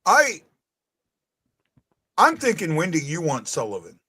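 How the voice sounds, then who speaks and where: A man's voice: on the high side, wildly swooping pitch, a little slow, pushed and bright, husky, no echo, clean studio sound. A man hosting a casual live sports chat on a stream. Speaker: sports show host.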